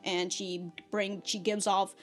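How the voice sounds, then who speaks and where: A woman's voice: medium pitch, flat delivery, slow pace. A woman giving a casual episode review as a reaction host, in slangy, sweary talk with mock indignation and frequent laughter.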